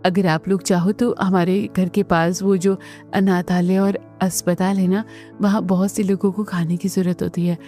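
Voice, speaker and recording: Gentle, timid young daughter-in-law, voiced by a woman. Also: lowish voice, level tone, moderate pace, faint music behind, close to an everyday voice. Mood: hesitant, earnest. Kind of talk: Hindi family cartoon dubbing.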